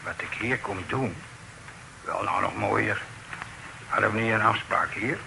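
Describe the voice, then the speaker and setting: An actor playing a cheeky, over-familiar rogue at a tavern in an old radio play, with a needling manner. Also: male voice, lowish voice, a little slow, creaky, very noisy.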